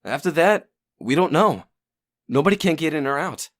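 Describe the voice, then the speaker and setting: A man's voice: on the high side, expressive, normal speed, fairly bright tone. A man playing a streetwise young survivor who guides a newcomer in a dubbed comic drama. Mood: grave, explaining.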